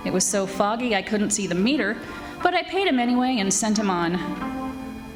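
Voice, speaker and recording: A woman playing a brash, wisecracking young private detective in a 1940s-style radio drama, sassy and quick-witted. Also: mid-range voice, sing-song, over music, natural voice. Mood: wry narrating. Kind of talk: 1940s radio detective acting, dialogue and narration. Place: live stage performance of a radio play.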